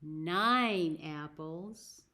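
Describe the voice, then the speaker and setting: A woman with an American accent hosting a children's story time, speaking deliberately as if to young listeners. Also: low voice, sharp tone. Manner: slow, deliberate phrasing with questions to young listeners. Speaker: library story time host.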